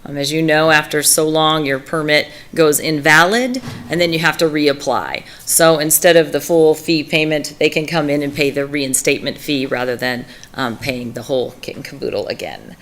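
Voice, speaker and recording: A woman giving a staff report to a city council in a fairly low voice, hoarse and smoky from allergies. She keeps clearing her throat and fills pauses with "um", presenting fee changes in a practical, matter-of-fact way. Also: steady tone, fairly bright tone. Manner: frequent throat clearing and filler words. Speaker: municipal services director.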